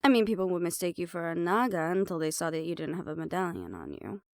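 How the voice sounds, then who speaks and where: A woman's voice: lowish voice, lively intonation, fast talker, gravelly, dry recording. A woman playing a sly, defiant half-banshee siren in an audio roleplay, sarcastic and playful as she mocks the listener. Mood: gleeful teasing.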